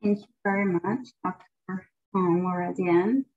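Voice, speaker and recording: A woman's voice: fairly low pitch, fairly even intonation, takes it slowly. A middle-aged woman moderating a conference panel over a video call, polite and a little apologetic. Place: office with shelves. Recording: muffled video call audio.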